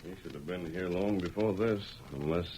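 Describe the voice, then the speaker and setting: An actor playing a resolute, commanding cowboy hero in an old radio western, decisive and urgent. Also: male voice, low-pitched, normal speed, warm tone, slight background noise, lightly acted. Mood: tense, measured. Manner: urgent, decisive, calling out to horses. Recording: muffled old radio recording.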